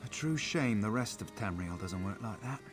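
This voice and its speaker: An actor playing a calm, formal, reasonable leader of pilgrims in scripted fantasy game dialogue. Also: male voice, lowish voice, normal speed, over music, lightly acted. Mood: calm, measured.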